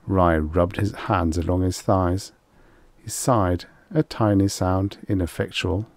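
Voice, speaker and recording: A man's voice: sits low, fairly even intonation, takes it slowly, dry recording, studio quality. A man reading vintage science fiction as an audiobook narrator, in scripted storytelling style.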